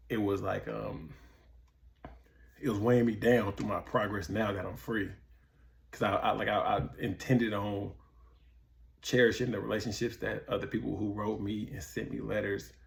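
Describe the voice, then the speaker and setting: A man's voice: fairly low pitch, vocal fry, a little mellow. An American man speaking in a casual, candid monologue, slangy, repeating himself as he searches for words. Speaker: vlogger sharing personal experience of prison.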